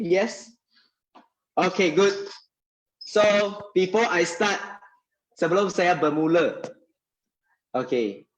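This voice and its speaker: Malaysian guy chatting on a live stream, bit high voice, mixes languages, says things twice. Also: poor audio. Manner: code-switching, chatty, repeats himself. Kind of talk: casual live stream chat.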